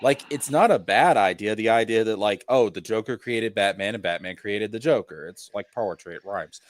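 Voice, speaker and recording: Guy with a lowish voice, emphatic and opinionated, casual film chat, really dry close mic. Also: moderate pace, dry recording. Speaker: podcast panelist. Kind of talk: casual film discussion with friends.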